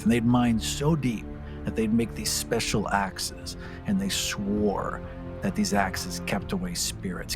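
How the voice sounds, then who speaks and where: Lively-pitched man telling stories over music. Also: vocal fry. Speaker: tabletop game player. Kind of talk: casual game talk and improvised storytelling.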